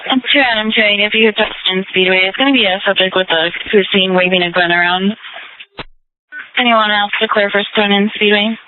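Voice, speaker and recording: A woman police dispatcher reading out calls and codes in a brisk, clipped way. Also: mid-range voice, steady tone, narrow, tinny audio. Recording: scanner radio with hiss.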